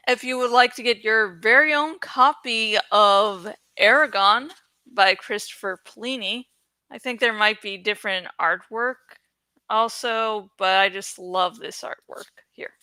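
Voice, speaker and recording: Woman, fairly high bright voice, dry close sound, playful rambling vlog chat. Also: takes it slowly, no room sound. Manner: rambling, playful, self-deprecating, giggly. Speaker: YouTuber who reads books aloud. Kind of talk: casual, chatty vlog talk to viewers.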